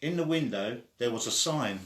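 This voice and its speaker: A man reading a children's story aloud in an expressive way, acting out the quoted lines. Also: medium pitch, normal speed. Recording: echoey room.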